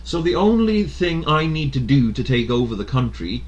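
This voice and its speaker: A young man from Sweden hosts a loose podcast conversation, blunt and emphatic with plenty of swearing. His pitch swings widely as he argues, rising and dropping with every point. He sits at an everyday middle pitch for a man. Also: very animated, moderate pace, highs cut off. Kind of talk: casual opinionated chat.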